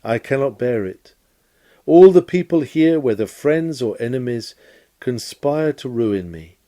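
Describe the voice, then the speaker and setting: A man with a soft, mellow voice reads an old-fashioned sermon lecture from a script. His pitch moves widely as he stresses key words, and his phrasing is measured, formal and oratorical. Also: animated. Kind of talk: scripted reading of a 19th-century sermon lecture.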